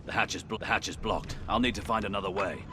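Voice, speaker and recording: World-weary male game mercenary voiced by an actor, low-pitched, dry and grumbling. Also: quick pace, background noise, close to an everyday voice. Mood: dry, grim. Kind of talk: video game voice acting.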